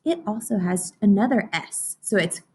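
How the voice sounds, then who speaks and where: A woman's voice: mid-range voice, expressive, normal speed, darkish tone, slightly rough. A woman teaching young children in an interactive read-aloud lesson, encouraging and instructional, often putting questions to her listeners.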